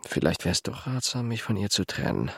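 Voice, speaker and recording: An actor playing an uneasy, selfish young clerk, muttering his private thoughts quietly in a literary radio drama. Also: on the low side, big pitch swings, brisk, gravelly, husky, dry recording, clean studio sound, full character voice. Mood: calculating.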